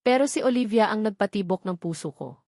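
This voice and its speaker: Earnest young man in love, as a woman reads him, calm, heartfelt, mid-pitched voice, dry close recording. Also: brisk, no echo, close to an everyday voice. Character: devoted young male boss, earnest and loving.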